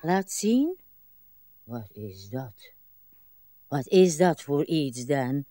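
An actress playing a weary, plaintive old wife in a radio drama, by turns murmuring and insistent. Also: female voice, a bass voice, very animated, warm tone, no echo, clean studio sound, exaggerated voice. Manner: slow, theatrical, swinging between murmurs and cries. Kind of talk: broadcast radio drama acting.

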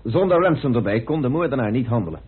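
An actor playing a methodical, insistent amateur detective in a radio play, speaking deliberately with theatrical pauses as he accuses. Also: mid-range voice, expressive, husky. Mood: accusing, deliberate. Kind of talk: radio play acting in Dutch. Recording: muffled old radio drama recording.

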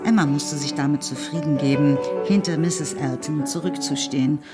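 An actress as the composed storyteller of a period novel, narrating in a measured literary style for a radio drama. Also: female voice, sits low, close to an everyday voice. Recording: plucked-string music behind narration.

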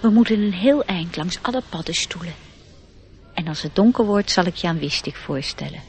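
A performer acting an airy nature sprite in a radio play, with a middle-pitched voice and a knowing, lecturing manner. The sprite looks down on humankind and explains things with assurance. A faint noise sits in the recording. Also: expressive, moderate pace, natural voice. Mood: tutoring, assured.

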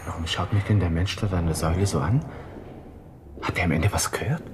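Man acting a low-voiced, agitated young Viennese lieutenant. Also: brisk, background noise, lightly acted. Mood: anxious, bewildered. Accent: Viennese Austrian. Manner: agitated self-talk, from hushed muttering to outbursts. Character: panicked, proud young army lieutenant brooding on his honour. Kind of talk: radio drama interior monologue.

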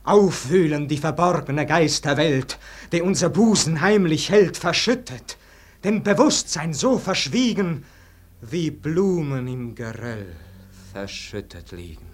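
Slow, exalted dying nobleman. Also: a little high, expressive. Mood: impassioned. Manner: declamatory, theatrical, lingering over verse. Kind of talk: 1940s-50s German radio verse drama acting. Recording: old radio recording with faint noise.

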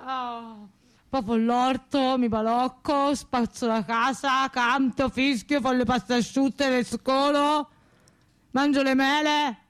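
A woman's voice: sits high, fairly even intonation, slow. A woman playing a simple, cheerful Tuscan country woman in a stage drama, rambling through her small chores in a sing-song way. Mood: contented.

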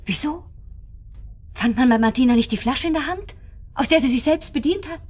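A woman's voice: sits high, expressive, moderate pace, darkish tone. A woman acting in a radio drama as a haughty, scornful wife under accusation, speaking in formal, condescending phrases. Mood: coolly defiant.

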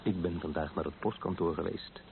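An actor playing an affectionate, teasing husband in a wheelchair in a Dutch radio play, playful and intimate. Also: male voice, low voice, fairly quick, gravelly. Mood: matter-of-fact. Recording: tinny, band-limited old radio recording, faint noise.

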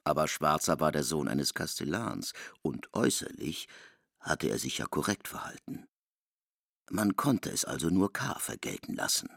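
Male radio drama narrator, gravelly, animated intonation, literary, dry close sound. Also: rumbling low, normal speed, no room sound. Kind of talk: expressive literary scripted narration.

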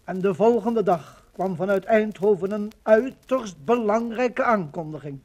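High pitched guy narrating 1950s radio docudrama, solemn and measured. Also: darkish tone.

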